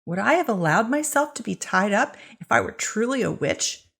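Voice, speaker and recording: A woman's voice: fairly high pitch, animated, normal speed, vocal fry, a bit bright, husky, pitched above the performer's own voice, exaggerated voice. A woman voicing a fierce, indignant accused witch with archaic, rhetorical speech in a storybook reading.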